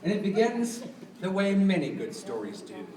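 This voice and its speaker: A man's voice: high-pitched, sing-song, moderate pace, a crowd in the background. A man, a puppeteer, playfully introducing a live show to children and putting questions to his audience. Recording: echoey room, distant mic, music behind.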